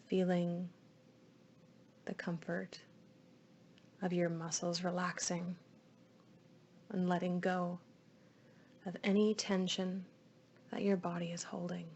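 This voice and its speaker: A woman leading a guided meditation in a soothing, hushed voice, with phrases often trailing into breath. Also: lowish voice, little pitch movement, slow pace, long pauses, dull audio, slight background noise.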